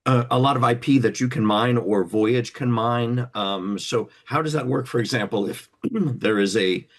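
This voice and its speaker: Medium-pitched man interviewing casually. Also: a little slow.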